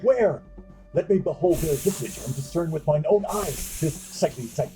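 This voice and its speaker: A man acts out a bumbling, self-important drunk in a comic fantasy audio play. His voice is fairly high and swoops widely, at an unhurried pace. He speaks in florid, mock-archaic flourishes over music. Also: expressive, natural voice. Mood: bewildered. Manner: theatrical, florid, wordy. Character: pompous, bumbling male tavern drunk in fantasy comedy.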